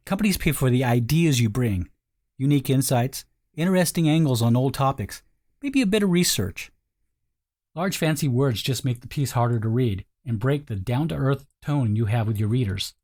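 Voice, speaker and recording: A man's voice: mid-range voice, darkish tone. A male narrator with a mid-range voice delivers a scripted sales voice-over. He keeps it informal and conversational, addressing the listener directly as if talking one to one.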